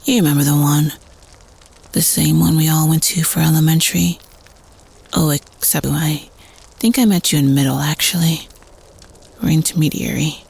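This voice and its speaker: A woman playing a teenage prep-school student telling a spooky campfire story casually in an audio roleplay, conspiratorial and at times hushed. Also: deep voice, gravelly, slightly rough, exaggerated voice. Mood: chatty, teasing.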